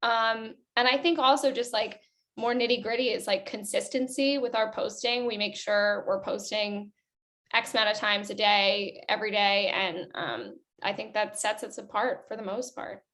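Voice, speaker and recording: A woman's voice: mid-range voice, steady tone, moderate pace, a bit bright. Woman in her twenties explaining casually. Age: 20s. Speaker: podcast guest. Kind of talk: casual interview chat.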